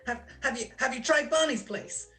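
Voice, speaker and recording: A woman's voice: sits high, normal speed, a bit bright, soft background music. A woman playing a nervous male stool pigeon in a radio crime drama, stammering, slangy and pleading. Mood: anxious, confiding. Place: recorded at home over a video call.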